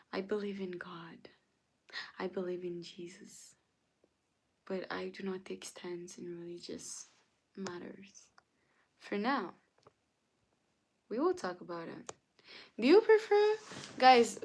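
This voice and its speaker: A woman with as her hosting a casual livestream, answering viewers' comments and sometimes dropping to a soft whisper. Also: medium pitch. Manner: slow, chatty, often drops to a soft whisper. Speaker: livestream host.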